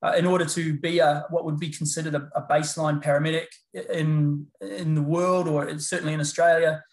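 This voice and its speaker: A middle-aged man with a New Zealand accent, chatting as an interview guest in a relaxed, rambling way full of fillers and restarts. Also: fairly high pitch, fairly quick. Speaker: guest, paramedicine lecturer. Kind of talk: casual interview chat. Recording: roomy echo.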